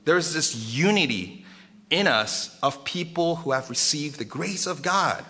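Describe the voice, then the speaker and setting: A male pastor speaks to his congregation in a bright, forceful voice that sits a little high. He preaches casually through stories, animated and conversational, circling back and repeating phrases as he goes. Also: unhurried. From Korea and South America. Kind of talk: casual storytelling sermon to a live congregation.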